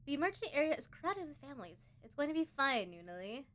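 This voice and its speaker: A woman streaming a game live, chatty and expressive, reading the on-screen story aloud and tossing in playful asides. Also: sits high, fast. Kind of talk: live let's-play commentary, reading game text aloud.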